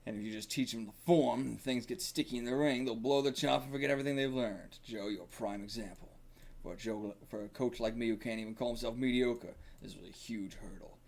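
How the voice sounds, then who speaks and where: Man acting out gruff, remorseful old boxing coach. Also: mid-range voice, a little fast, a little mellow. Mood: solemn, remorseful. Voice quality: gravelly.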